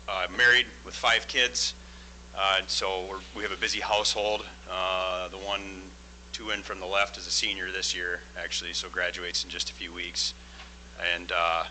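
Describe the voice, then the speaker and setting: A middle-aged man giving a plain-spoken project presentation at a public board meeting, loud and full of fillers. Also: sits low, slight background noise, poor audio. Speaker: construction project manager presenting. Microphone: microphone.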